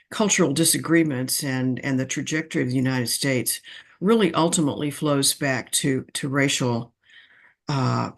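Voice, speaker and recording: A man in an online discussion asking the speaker a long, careful question, with many pauses and fillers. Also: mid-range voice, vocal fry. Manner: slow, halting, many fillers. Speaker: audience member asking a question.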